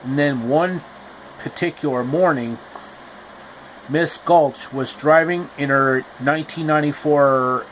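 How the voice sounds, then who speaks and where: A man retells a classic tale in a casual, homemade storybook style, often repeating himself with explanatory asides. His pace is very slow and his voice is a touch higher than most men's. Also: slight background noise, rough recording.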